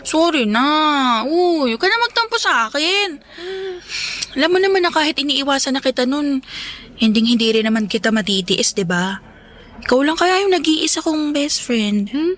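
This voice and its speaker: A woman's voice: high voice, lively intonation, moderate pace, a bit bright. A woman playing a torn, pleading young woman in a radio drama, with a Filipino accent and a coaxing manner. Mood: coaxing, firm. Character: torn, pleading young woman, best friend in love elsewhere.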